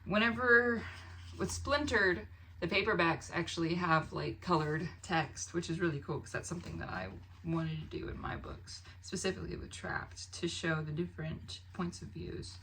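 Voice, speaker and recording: A woman vlogger chatting casually and excitedly to the camera, animated and rambling with expressive asides. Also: lowish voice, normal speed, a little hiss or hum. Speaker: book haul vlogger and fiction author.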